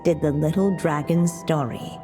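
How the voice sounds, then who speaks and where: A woman playing a tender, solemn mother witch, telling a storybook tale in a video game with gentle, deliberate delivery. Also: sits low, music in the background, close to an everyday voice. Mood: gentle storytelling.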